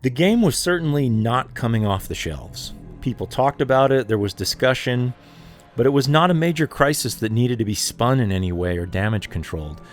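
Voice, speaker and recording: Male ex-developer interviewee, medium pitch, recounting past events, music underneath. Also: lively intonation, moderate pace. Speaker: former game developer, interviewee. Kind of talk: interview recollection.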